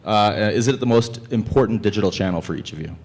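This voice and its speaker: A man moderating a conference panel, upbeat and brisk as he prompts each panelist in turn. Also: fairly low pitch, a little mellow.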